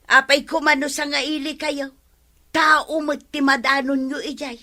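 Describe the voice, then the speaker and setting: A woman's voice: sits high, little pitch movement, measured pace, bright, forceful tone. An actress with an Ilocano accent playing a curious village woman who asks question after question in a chatty way. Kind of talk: 1950s-style radio comedy acting.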